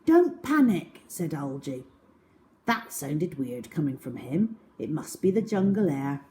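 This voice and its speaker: Expressive, unhurried woman storyteller. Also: low voice. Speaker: children's storyteller. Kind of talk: expressive scripted story narration for children.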